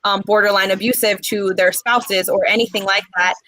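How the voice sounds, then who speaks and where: Earnest young woman, bright dry voice. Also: mid-range voice, steady tone, no room sound, poor audio. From Mexico, raised in the United States. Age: 20s. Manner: earnest, many "um" pauses. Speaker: guest poet. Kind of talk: casual interview chat.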